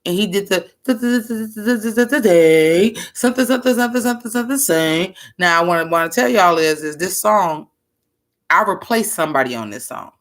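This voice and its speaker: A woman rapper with a deep, bass-heavy voice, chatting loosely to her viewers as she reacts to her own old album. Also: lively intonation, fairly quick. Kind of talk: casual reaction commentary.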